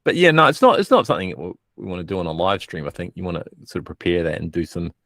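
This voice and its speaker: A man's voice: sits low, animated, rapid-fire, a bit bright, no room sound, studio quality. A man co-hosting a casual live stream, talking in a hesitant, rambling, thoughtful way. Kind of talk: casual chat.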